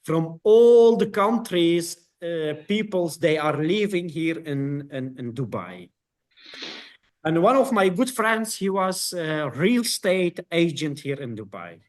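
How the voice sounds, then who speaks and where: Slow, hesitant Kurdish-born man. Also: a little high, big pitch swings, darkish tone. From Kurdistan, northern Iraq. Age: 20s. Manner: halting, many fillers and restarts. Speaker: guest. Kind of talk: casual interview talk.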